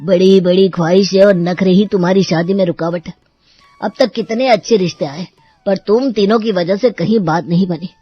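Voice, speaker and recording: A woman's voice: mid-range voice, normal speed, breathy edge, no room sound, dull audio, faint music behind, lightly acted. A woman voicing a stern, frustrated middle-aged father in a cartoon story. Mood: taunting, fed up. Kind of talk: Hindi cartoon story voice acting.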